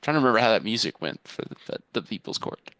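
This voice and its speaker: A man's voice: lowish voice, sing-song, fast talker, fairly bright tone, dry recording. A man performing at a table read, joking casually with fellow performers.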